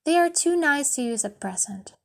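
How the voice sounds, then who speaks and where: Woman reading as a serious, measured young husband. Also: sits high, expressive, creaky. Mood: gentle. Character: serious young husband, stunned but gently loving. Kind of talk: storybook character reading.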